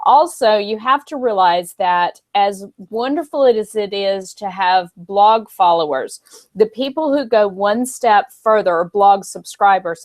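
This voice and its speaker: A woman's voice: medium pitch, no room sound. Woman guest, earnest advice-giver, dry and close. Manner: earnest, advice-giving, with frequent ums. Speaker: guest author. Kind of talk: live online panel chat.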